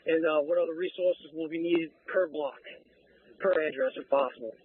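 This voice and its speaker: Husky, high-pitched male commander on radio. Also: moderate pace. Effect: two-way radio. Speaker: fire command officer. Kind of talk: radio instructions. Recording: phone-like fire scanner radio audio.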